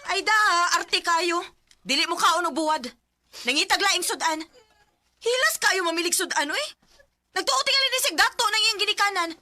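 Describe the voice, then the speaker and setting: A woman with a Filipino Cebuano accent playing a young village wife, tender but sharp-tongued, in a Bisaya radio drama. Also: extremely high pitch, animated, normal speed, full character voice. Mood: irritated, scolding.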